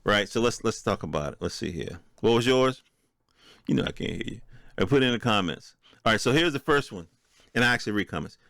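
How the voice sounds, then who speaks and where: A man's voice: lowish voice, animated, a little fast, gravelly, a bit bright, dry recording. An American man hosting a podcast, commenting in an informal, opinionated, conversational way and speaking directly to his listeners. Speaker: podcast host. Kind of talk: casual solo commentary, reading and reacting to news articles.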